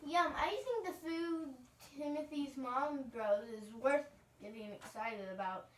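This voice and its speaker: A young girl voicing a sweet, cheerful farm lamb puppet, reading scripted children's show lines haltingly. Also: high-pitched, fairly bright tone. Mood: cheerful, earnest. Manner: halting, stumbling read-aloud delivery. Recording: roomy, distant home recording.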